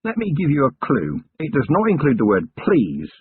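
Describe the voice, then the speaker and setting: A man voicing a weary, gruff middle-aged police commander with a dry, sardonic manner in an audiobook. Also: mid-range voice, wildly swooping pitch, moderate pace, dry recording, pitched above the performer's own voice. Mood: brusque. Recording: tinny lo-fi narration recording.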